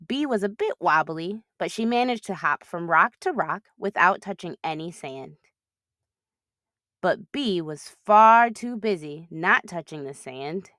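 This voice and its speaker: A woman hosting a children's read-aloud, narrating expressively with clear phrasing. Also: fairly low pitch, lively intonation, measured pace, a bit bright, dry recording, clean studio sound.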